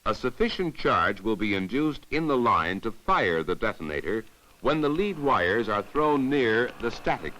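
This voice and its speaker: A man giving formal, measured, didactic narration in the scripted style of a 1950s educational safety film. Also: medium pitch, bright, forceful tone, poor audio.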